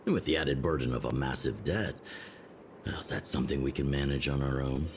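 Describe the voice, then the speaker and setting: An actor playing a weary, pragmatic old man in a video game, speaking in a measured, grave way. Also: extremely low pitch, noisy background. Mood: weary, frank. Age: older. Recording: game audio with music behind.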